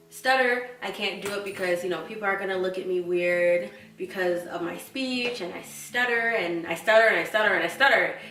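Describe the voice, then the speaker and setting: A woman's voice: medium pitch, sharp tone, reverberant, far from the mic. A woman with a stutter, talking in a casual, reflective personal chat to her viewers, with fillers and restarts. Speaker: vlogger.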